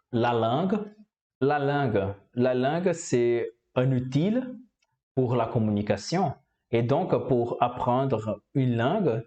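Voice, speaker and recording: Man, Brazilian Portuguese accent, unhurried, hesitant with fillers and repeats, mellow low tone. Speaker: language learner. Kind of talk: casual explanatory talk.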